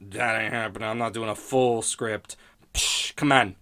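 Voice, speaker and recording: A man's voice: fairly low pitch, steady tone, moderate pace, bright, forceful tone. A man hosting a podcast in casual, improvised rambling, with a sardonic, profane and self-mocking way of talking. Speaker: podcast host.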